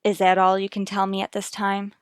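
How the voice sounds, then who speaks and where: A woman's voice: mid-range voice, completely flat delivery, fairly quick, smooth, dry recording, clean studio sound, natural voice. An actress playing an earnest, anxious but determined wife and mother in a 1950s radio soap, formal and firm. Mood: polite, uneasy.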